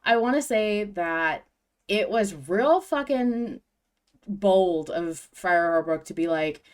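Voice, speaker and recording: A woman's voice: mid-range voice, expressive, slow pace, smooth. Emphatic woman hosting a fan podcast. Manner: emphatic, gushing fan enthusiasm, swearing. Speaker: podcast host. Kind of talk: casual fan discussion podcast.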